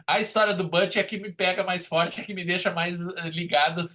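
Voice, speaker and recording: A man's voice: high-pitched, steady tone, a little fast, sharp tone. A man with a Brazilian accent co-hosting a film podcast, talking casually in an enthusiastic, rambling way.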